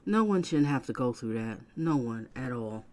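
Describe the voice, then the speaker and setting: Man with a mid-pitched, lively voice, casual reaction commentary, playful. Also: moderate pace.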